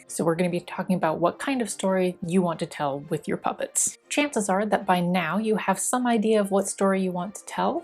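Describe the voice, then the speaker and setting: A woman library presenter giving scripted instructional narration, explaining things step by step. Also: on the low side.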